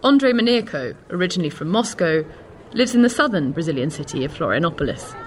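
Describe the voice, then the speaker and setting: A woman's voice: lowish voice, sing-song, fast, soft background music. A woman reporter reading scripted narration for a news feature.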